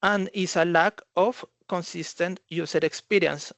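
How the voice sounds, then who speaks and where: Halting male tech presenter, gravelly voice. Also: sits high, fairly even intonation, fairly bright tone, no room sound. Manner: halting, repeats and rephrases phrases. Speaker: consultant presenting at a conference. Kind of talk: slide presentation talk.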